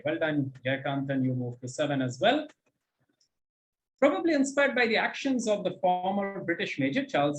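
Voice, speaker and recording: A male quizmaster with a fairly high voice and very animated intonation. He reads questions articulately, then adds encouraging comments and explanations as he goes. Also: muffled recording. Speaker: quizmaster and host. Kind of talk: reading quiz questions and commenting on answers.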